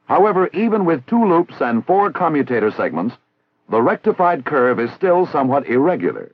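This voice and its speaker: An American man reading scripted instructional narration in a formal, measured, didactic way, as on a 1960s training film soundtrack. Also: on the high side, very animated, husky, no room sound, phone-quality sound. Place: recorded voice-over.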